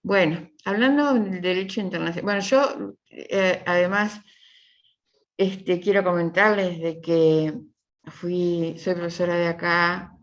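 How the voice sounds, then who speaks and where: A woman's voice: steady tone, unhurried. A middle-aged woman with a Uruguayan accent, a university professor chatting informally with her class in a rambling way and repeating her phrases. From Uruguay, from Tacuarembó. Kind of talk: informal online class introduction. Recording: muffled low-fidelity class recording.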